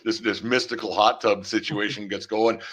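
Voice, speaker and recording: A man with a fairly low voice hosts a relaxed sports interview. He talks in a chatty, meandering way, working his way gradually toward each question. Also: fairly quick, poor audio. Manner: rambling, chatty, circles into questions. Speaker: podcast host and interviewer.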